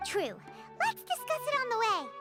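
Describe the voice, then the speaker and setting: A woman voicing a tiny, childlike fairy companion in a video game, bubbly and emphatic with a squeaky voice. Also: huge pitch swings, moderate pace, loud music behind the voice, full character voice. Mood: thinking aloud. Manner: bubbly, emphatic, speaks of herself in third person. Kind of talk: animated video game character acting.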